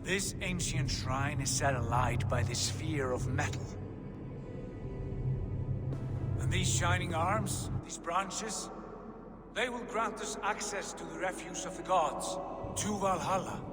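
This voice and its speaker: A man acts out a fervent Viking visionary for a cinematic game, grandiose and obsessed. His voice sits a little high for a man and declaims with reverence. It rings through a long cavernous reverb, with loud music underneath. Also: a little slow, lightly acted. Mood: urgent, reverent. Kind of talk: cinematic video game acting.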